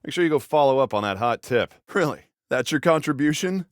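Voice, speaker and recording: An actor playing a protective adult American cop in a video game, sarcastic and exasperated, with a dry conversational manner. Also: male voice, medium pitch, expressive, brisk, no echo, natural voice. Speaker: police officer character in a video game.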